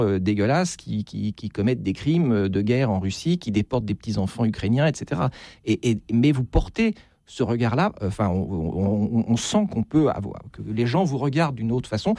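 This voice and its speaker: A man speaking as a guest novelist, haltingly repeating words as he searches for phrasing. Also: mid-range voice, mellow, dry recording, clean studio sound. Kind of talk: unscripted interview discussion.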